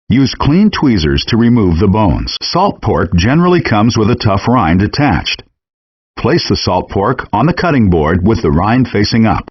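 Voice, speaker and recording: A man narrating scripted cooking instructions for a game in a measured, step-by-step way, in muffled, compressed handheld game audio. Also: lowish voice, breathy edge.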